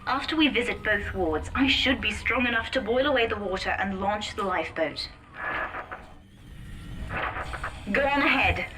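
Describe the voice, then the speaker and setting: A young woman playing a ruthless but devoted teenage daughter in a dramatic video game, with deliberate, earnest, commanding delivery. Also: mid-range voice, bright, forceful tone, close to an everyday voice, poor audio. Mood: cold resolve. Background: music.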